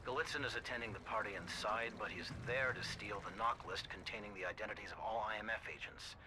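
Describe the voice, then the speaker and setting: A man playing a composed, middle-aged spy team leader giving measured, businesslike mission briefings in a video game. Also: on the low side, noisy background, natural voice. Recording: loud music behind the voice. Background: loud music.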